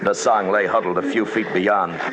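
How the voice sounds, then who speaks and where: An actor in an old-time radio horror drama playing a determined expedition leader, with a tense, dramatic delivery and deliberate pauses. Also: male voice, sits low, steady tone, lightly acted. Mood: haunted, tense. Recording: very noisy vintage radio recording.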